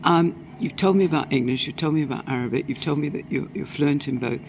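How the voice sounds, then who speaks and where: A woman interviewing a guest, asking structured questions in a measured way with short prompts. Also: low voice, highs cut off, a little hiss or hum. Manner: measured, steers with short prompts and back-channel murmurs.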